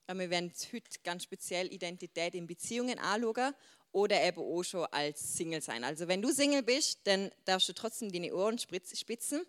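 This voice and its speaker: A woman's voice: fairly low pitch, lively intonation, brisk. Young Swiss German woman, informal group speaker. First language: Swiss German dialect. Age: 20s. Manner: chatty and informal, mixing in English words.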